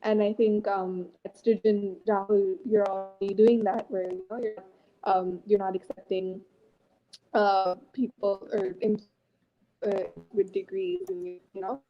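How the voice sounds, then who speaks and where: A woman's voice: mid-range voice, a little slow, slight background noise. A woman speaking as a guest in an informal online panel, hesitant and earnest, over a dull internet call. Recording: muffled, band-limited internet call.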